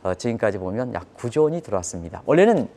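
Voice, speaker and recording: A man's voice: fairly low pitch, very animated, fast talker, warm tone. A man giving live economic commentary on a broadcast in an emphatic, explanatory manner, posing rhetorical questions.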